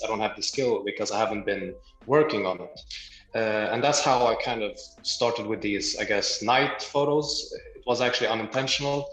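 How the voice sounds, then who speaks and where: A man's voice: fairly low pitch, fairly bright tone, roomy sound, low-quality recording. A young man from Libya, raised in Sweden, answering interview questions casually with many fillers and light laughs. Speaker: guest photographer and doctor.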